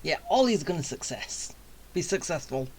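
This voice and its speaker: A woman's voice: deep voice, big pitch swings, moderate pace, darkish tone, a little hiss or hum, poor audio. A woman casually commenting as she streams a game, with game music behind her. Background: video game music.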